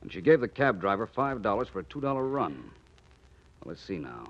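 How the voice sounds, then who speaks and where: A muffled old radio recording of a gentleman sleuth from a 1940s drama. The American voice is on the low side and swings expressively, urbane, theatrical and coolly probing. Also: very animated, fairly quick. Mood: musing. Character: suave, inquisitive gentleman amateur detective.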